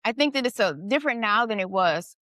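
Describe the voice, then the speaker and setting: A woman's voice: a little high, lively intonation, fast talker, pushed and bright, no echo, clean studio sound. A middle-aged woman hosting a casual conversation, thoughtful and questioning, often adding "you know" and "right". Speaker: podcast host.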